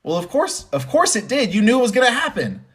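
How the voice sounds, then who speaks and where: A man's voice: extremely high pitch, sing-song, a little fast. Emphatic, conversational man giving motivational commentary. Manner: conversational, emphatic, repeats phrases for stress. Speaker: host and commentator. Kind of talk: motivational spoken commentary with personal storytelling.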